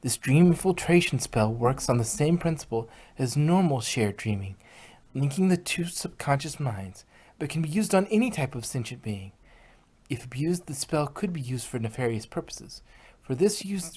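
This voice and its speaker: Woman as a husky, studious female unicorn pony. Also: very deep voice, animated, normal speed, dark tone, heavily acted. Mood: earnest, uneasy. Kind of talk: fan fiction audio drama reading.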